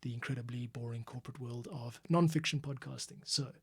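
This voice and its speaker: A man's voice: mid-range voice, steady tone, a little fast, creaky, husky. A man giving a casual editing tutorial, muttering to himself in short bursts and thinking aloud as he works. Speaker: podcast editor and host. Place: at a computer workstation.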